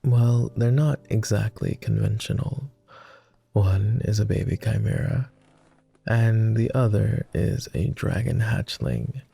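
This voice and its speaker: A man playing a tender, easily flustered vampire lord with formal phrasing and a soft, gravelly, breathy voice, in an intimate ASMR boyfriend roleplay. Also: on the low side, takes it slowly, exaggerated voice. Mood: gently inviting. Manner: hesitant, formal old-fashioned phrasing.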